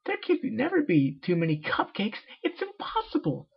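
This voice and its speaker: A man's voice: high voice, wildly swooping pitch, moderate pace, creaky, husky, pitched above the performer's own voice, heavily acted. A man voicing a hyper, bubbly young female cartoon pony who squeals and exclaims, on a muffled home recording. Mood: bubbly, excited.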